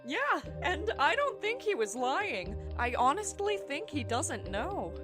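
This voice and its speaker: A woman voicing an excitable, eccentric scientist in a fan audio drama, speaking in a bubbly, chatty manner. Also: high-pitched, slow pace, exaggerated voice. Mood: eager. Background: music score.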